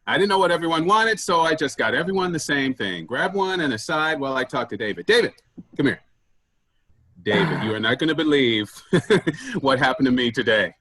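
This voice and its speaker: Actor as male office boss, pompous, preachy, very animated pitch, dry close sound, staged comedy reading. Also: fairly quick, no echo. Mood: breezy. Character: pushy, self-important male office boss obsessed with efficiency.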